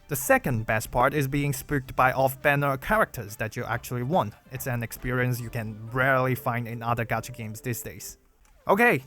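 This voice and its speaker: A young man from China with a Chinese accent, a Mandarin native speaker, reading out a Q&A video in a measured, careful way. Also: medium pitch, fairly bright tone. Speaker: gaming YouTuber. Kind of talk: read Q&A video narration.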